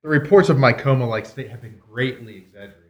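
A man's voice: medium pitch, quick pace, muffled recording. A man bantering in a hammy, teasing way as co-host of a live comedy variety show. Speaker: podcast co-host. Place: live stage at a comic shop. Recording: echoey live venue with music and crowd.

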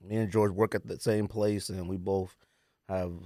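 A man's voice: low voice, little pitch movement, moderate pace, a little mellow, dry recording, clean studio sound. An American man hosting a podcast, chatting casually and jokingly in slangy speech about sports and sneakers. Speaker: podcast host.

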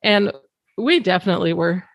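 A woman's voice: on the low side, expressive, moderate pace, creaky, no room sound, muffled recording. Chatty, laughing woman podcast host. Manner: laughs often while talking, loose and chatty. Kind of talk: casual conversation.

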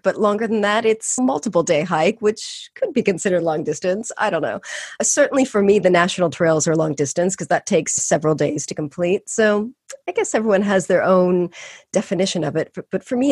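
A woman's voice: on the low side, expressive, a little fast, husky, no echo, studio quality. A woman guest in a casual interview, talking eagerly about long-distance walking and laughing often. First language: English. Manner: warm, enthusiastic, laughs often.

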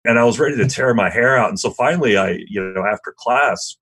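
A middle-aged man, a history teacher, answering an interview question in a loud voice, thoughtful and self-deprecating, with frequent restarts. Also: mid-range voice, fairly quick, slightly rough.

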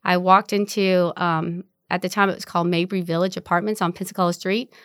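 A woman's voice: lowish voice, little pitch movement, brisk, a bit bright, clear, resonant tone, no room sound, clean studio sound. A woman in her forties from Vietnam, candidly telling her life story in an interview and laughing easily. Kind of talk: oral history interview.

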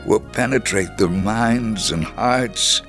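A man reading a first-person testimony aloud on the radio in an earnest, measured storytelling manner. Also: lowish voice, a bit bright, soft background music.

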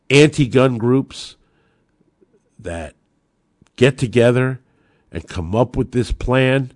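A man's voice: medium pitch, darkish tone, no echo, studio quality. An American man hosting an opinionated political podcast, delivering an emphatic, combative monologue with rhetorical flourishes. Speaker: podcast host.

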